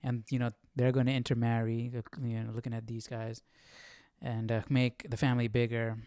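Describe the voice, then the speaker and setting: Man, mid pitch, informal live commentary, hesitant thinking-aloud delivery, smooth clear voice, very dry sound. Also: completely flat delivery, fairly quick, mellow, no room sound. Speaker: host of a Bible study stream.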